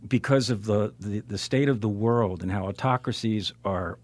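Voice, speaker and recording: A man speaking conversationally as co-host of a bantering comedy talk show, playful and deadpan. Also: on the low side, normal speed.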